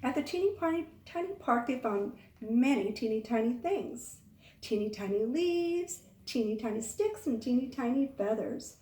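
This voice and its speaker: High-voiced woman reading a children's story. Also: normal speed. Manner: expressive, asks the listeners questions. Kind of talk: read-aloud picture book storytelling for young children, with asides. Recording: echoey room, far from mic.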